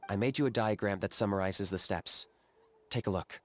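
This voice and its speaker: A man voicing a calm, practical young warrior in a video game, giving instructions in a cool, level way. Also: fairly low pitch, normal speed, natural voice.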